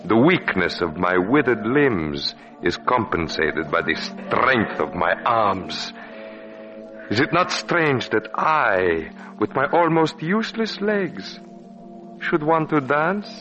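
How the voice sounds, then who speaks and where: An actor playing a bitter, menacing, deformed puppeteer with theatrical, mocking speech in an old radio horror drama. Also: male voice, mid-range voice, very animated, slow. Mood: bitter, brooding. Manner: theatrical, ranting, with mocking rhetorical questions. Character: bitter, menacing, twisted puppeteer with withered legs and crushing arms. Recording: muffled vintage radio recording with music.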